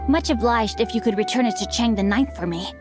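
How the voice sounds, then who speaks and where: A woman's voice: a little high, a little fast, music in the background, close to an everyday voice. A woman voicing a refined, bookish, slyly teasing noble teenage boy in a video game, with ornate, courtly phrasing. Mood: playfully gracious. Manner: ornate, courtly, archaic wording. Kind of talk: English video game voice acting.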